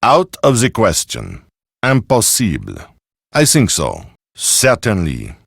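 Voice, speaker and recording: A man's voice: on the low side, huge pitch swings, slow, vocal fry, a bit bright, husky, no room sound, clean studio sound, heavily acted. A man acting as a suave, self-important Frenchman in a video game, with a French accent and pompous, precise delivery. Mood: suave, effusive.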